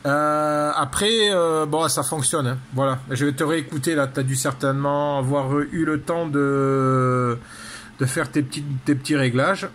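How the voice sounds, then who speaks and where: A man's voice: fairly high pitch, measured pace, fairly bright tone. A man talking casually and technically as an amateur radio operator, in a hesitant, rambling way full of repeated tag words. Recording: clear background noise.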